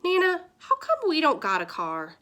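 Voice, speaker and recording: A woman's voice: high voice, wildly swooping pitch, normal speed, bright, forceful tone, pitched above the performer's own voice, heavily acted. A woman voicing a curious young boy who keeps asking complaining questions. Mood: questioning. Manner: whiny questioning tone.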